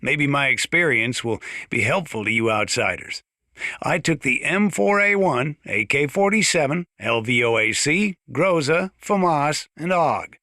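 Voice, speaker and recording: A man's voice: a little high, animated, measured pace, pushed and bright, no room sound, studio quality. A man playing a folksy, gun-loving small-town sheriff in a video game, chatting boastfully in a conversational radio-style monologue. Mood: chatty, matter-of-fact.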